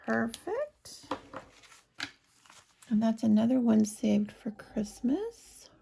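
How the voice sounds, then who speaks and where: A hoarse woman chatting casually to her viewers as a budgeting vlogger, counting cash aloud as she works. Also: on the high side, big pitch swings, extremely slow, dark tone, a little hiss or hum. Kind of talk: casual chat while cash stuffing.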